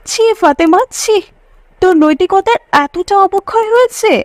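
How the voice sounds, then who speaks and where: A woman's voice: very high voice, moderate pace, no room sound, studio quality. A woman voicing a pious, moralising young college girl in a cartoon story. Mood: scolding. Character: pious, scolding young college girlfriend Mariam.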